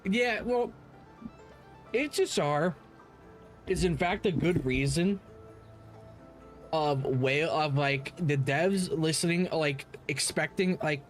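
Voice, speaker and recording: Opinionated man streaming, slightly high voice over music. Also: takes it slowly, pauses often. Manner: rambling, opinionated, casually profane. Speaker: livestream host. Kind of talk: casual livestream commentary on games, answering chat.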